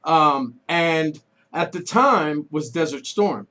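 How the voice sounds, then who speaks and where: A man's voice: on the high side, lively intonation, slow, pushed and bright. A man in his late forties from New York, hosting a radio interview, chatty and joking as he asks eager questions. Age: 40s. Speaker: radio host. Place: radio studio. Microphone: studio microphone.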